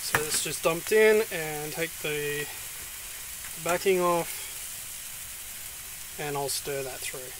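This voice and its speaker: Australian man, fairly high voice, chatty with ums, cooking walkthrough, small van, faint background hiss. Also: takes it slowly, pauses often. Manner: chatty, many ums and restarts. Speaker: vlogger. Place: inside a small van kitchen.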